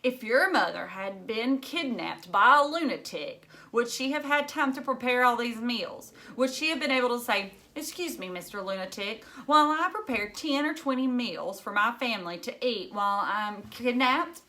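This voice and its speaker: A woman reading an exasperated, sharp-voiced father. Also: on the high side, expressive, normal speed, hardly any pauses, pitched above the performer's own voice. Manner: sarcastic rhetorical questioning. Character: exasperated, sarcastic middle-aged father.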